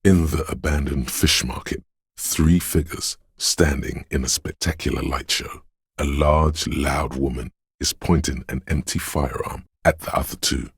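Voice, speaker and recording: Ominous all-knowing inner voice for a game, super gravelly, close dry sound, slow solemn poetic narration. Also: male voice, steady tone, dry recording, exaggerated voice. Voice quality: gravelly, husky bass. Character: brooding, omniscient inner voice narrating a city's shivers. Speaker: voice actor narrating a video game. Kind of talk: slow literary second-person narration.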